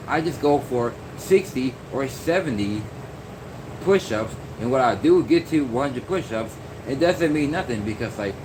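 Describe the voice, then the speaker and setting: Rambling young man over a humming room. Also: mid-range voice. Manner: meandering, many fillers and restarts. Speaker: vlogger. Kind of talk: rambling casual monologue. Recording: noisy background hum.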